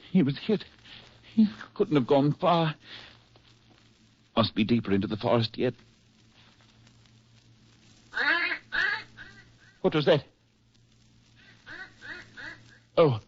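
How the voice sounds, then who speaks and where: An actor playing a haunted, fearful man, tense and halting, in 1940s radio drama style. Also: medium pitch, lively intonation, natural voice. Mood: uneasy searching. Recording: dull 1940s radio recording with music.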